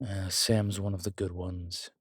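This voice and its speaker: A man playing a long-lived demonology professor in an audio roleplay, calm, protective and gently wry, speaking in a measured, deliberate way. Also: low voice, husky, no room sound. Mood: hushed, thoughtful. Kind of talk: slow intimate audio roleplay acting.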